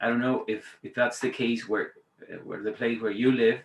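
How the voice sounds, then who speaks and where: A man's voice: medium pitch, normal speed, creaky. A man in his fifties from Argentina, a native Spanish speaker, chatting informally and hesitantly as a guest speaker on a webinar. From Patagonia, Argentina. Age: 50s. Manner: chatty, hesitant, conversational asides. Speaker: guest speaker, teacher trainer. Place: indoors on a video call. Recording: roomy, echoey webinar audio.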